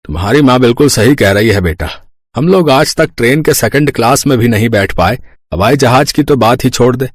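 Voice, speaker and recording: A man voicing a kindly, resigned older village father in a cartoon story, giving gentle advice. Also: medium pitch, lively intonation, fairly quick, dry recording, studio quality, lightly acted. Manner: gentle, advising.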